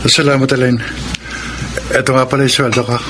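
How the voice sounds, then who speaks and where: A man's voice: mid-range voice, level tone, brisk, over music, natural voice. A man playing a young working husband and brother, patient but defensive, in a radio drama. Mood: apologetic, explaining. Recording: noisy radio drama sound, ambient effects.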